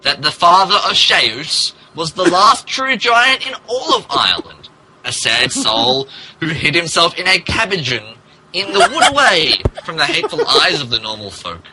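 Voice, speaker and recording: A man's voice: on the high side, huge pitch swings, moderate pace, background noise. A man narrating a fan fiction story as a mock-dramatic podcast reading, amused, theatrical and emphatic.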